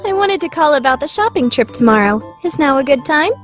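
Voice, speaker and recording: A woman's voice: sits high, lively intonation, brisk, faint music behind. A woman playing a proud, easily flustered rich teenage girl in an anime fandub radio play. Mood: nervous. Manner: haughty, formal, easily flustered.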